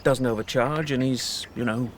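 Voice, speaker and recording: A man acting a wry, chatty British lawyer in a radio play. His voice sits at a middle pitch, with a dry, storytelling manner. Faint ambient noise lies behind him. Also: normal speed, natural voice. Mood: helpful, casual. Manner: dry, jokey, anecdotal. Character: chatty, wry male solicitor, gardening friend. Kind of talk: naturalistic radio drama dialogue.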